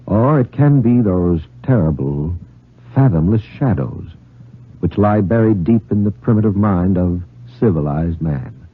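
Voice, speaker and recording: A low-voiced American man hosts a 1950s radio horror show. He speaks in measured, scripted phrases with an ominous weight and a slightly rough grain. The sound is thin, muffled vintage broadcast audio. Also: lively intonation. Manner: solemn, ominous, measured delivery. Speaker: announcer and host of radio anthology.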